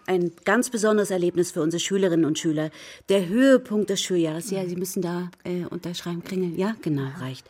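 Slightly husky, overeager woman schoolteacher. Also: fairly low pitch, brisk, a little mellow. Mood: flustered, insistent. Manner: polite, hesitant, rambling, eager to please. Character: well-meaning, overeager primary school teacher. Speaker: actress in a children's radio play. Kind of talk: naturalistic German radio drama acting.

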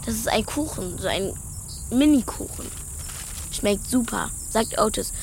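A woman's voice: medium pitch, lively intonation, unhurried, gravelly, darkish tone, a little hiss or hum. A female performer playing a caring, eager young schoolgirl in a German children's radio play.

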